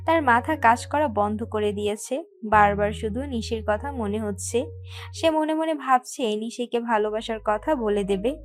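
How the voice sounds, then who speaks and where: High-voiced woman narrating a story.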